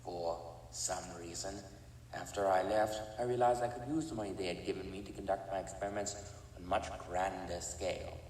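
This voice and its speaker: A disfigured criminal chemist, played by a man, with a very low voice and a calm, clipped, detached delivery. He sounds set back from the mic in an echoey room with a long tail of reverb. Faint background noise sits under the voice. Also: fairly quick, recorded from a distance, close to an everyday voice. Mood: matter-of-fact. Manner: calm, clipped, matter-of-fact. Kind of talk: fan audio drama acting.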